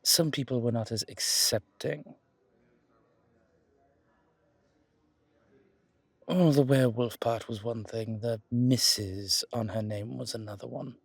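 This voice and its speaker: A charming male museum guide from a supernatural town, acted by a man, with a gravelly, husky voice. He chats in a genteel, flirtatious way, full of little asides and emphatic repeats, in a close, dry ASMR roleplay recording. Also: mid-range voice, moderate pace, dry recording. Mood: wry, admiring. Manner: chatty, hesitant asides, emphatic repetitions, genteel. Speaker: character performer in an audio roleplay. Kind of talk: intimate ASMR roleplay monologue, conversational.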